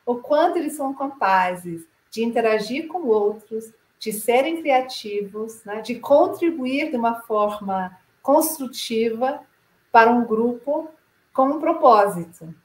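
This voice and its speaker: A woman's voice: fairly high pitch, animated, unhurried. Canadian woman speaking in an echoey room. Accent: Canadian English. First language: English. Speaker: director of a play advocacy association. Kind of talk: presentation talk to camera.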